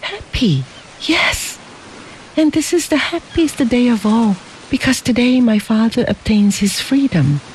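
A narrator voicing a gentle, devoted young woman in an audiobook, cooing and soft in a raised voice. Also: mid-range voice, expressive, a little slow, slight background noise, pitched above the performer's own voice, natural voice, rough recording. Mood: tender. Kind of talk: audiobook character voice.

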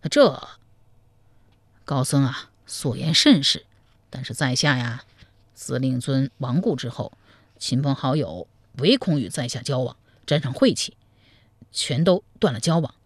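Anxious young suitor played by a man, rushed and pleading, very animated pitch. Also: no room sound. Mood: fretful. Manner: quick, fretful pleading. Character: anxious young male suitor, gullible, hot-tempered. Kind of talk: storytelling, acted dialogue.

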